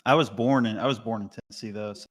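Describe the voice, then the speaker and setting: A man's voice: fairly low pitch, fairly even intonation, quick pace. A young man from Alabama with a light Southern accent, talking earnestly in a casual live chat, with plenty of "like" and "you know", and a voice that sounds older than his years. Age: young adult. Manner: earnest, filler-heavy rambling. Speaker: chat participant.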